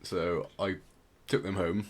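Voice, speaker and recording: A man with a low-pitched voice hosts a casual podcast. He explains things conversationally, rambling a little and softening his points as he goes. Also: steady tone, slow, breathy edge. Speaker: podcast host.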